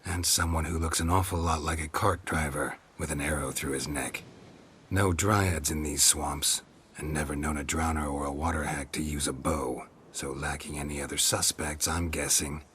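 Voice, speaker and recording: An actor playing a gruff, middle-aged monster hunter in a video game, deadpan and sardonic, with a gravelly voice. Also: male voice, extremely low pitch, normal speed, slight background noise. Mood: wry deduction. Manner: deadpan, dry, terse.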